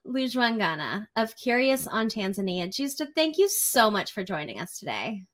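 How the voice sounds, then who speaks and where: An upbeat woman hosts a podcast with open enthusiasm. Her pitch is middle height. She talks casually and warmly excited, with easy fillers. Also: moderate pace. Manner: enthusiastic, upbeat, uses fillers like you know. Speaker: podcast host. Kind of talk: casual interview hosting.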